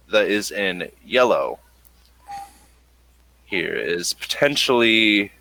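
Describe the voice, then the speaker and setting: Deep, bright-voiced man running a game. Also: no room sound, rough recording. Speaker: game master. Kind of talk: improvised narration and lore exposition for a tabletop game.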